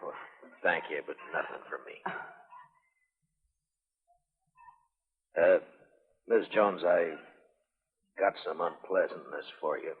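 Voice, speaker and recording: An American man playing a stern, world-weary frontier marshal in a 1950s radio western, speaking tersely and gravely. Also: low voice, measured pace, full character voice. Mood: grave, gentle. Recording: muffled, echoey vintage radio broadcast.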